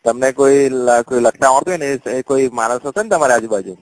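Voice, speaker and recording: A quick-talking man with a Gujarati accent handles a customer helpline call at a medium pitch. He stays patient and deferential, politely placating the caller. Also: poor audio. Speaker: customer care agent. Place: phone call.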